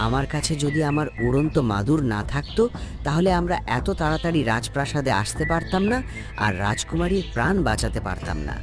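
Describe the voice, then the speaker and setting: A man's voice: fairly high pitch, lively intonation, brisk, over music, close to an everyday voice. A man voicing a young adventurer in a dramatic fairy-tale cartoon dub. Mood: assertive. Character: young adventurer friend with magic flying carpet.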